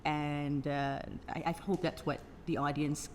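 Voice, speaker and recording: A middle-aged woman talks in an interview with a very low voice and an unhurried, very slow pace. She is reflective and measured, recorded in a reverberant hall with faint noise. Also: flat delivery, a little mellow. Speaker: stage play cast member. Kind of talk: casual cast interview.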